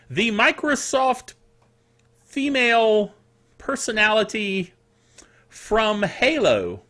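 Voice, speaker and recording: Animated, high-pitched older man. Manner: playful self-styled curmudgeon, rambling asides, spoken sound effects; animated, emphatic delivery with drawn-out words. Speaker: podcast host. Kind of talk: casual solo tech show, chatty and off the cuff. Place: small home studio.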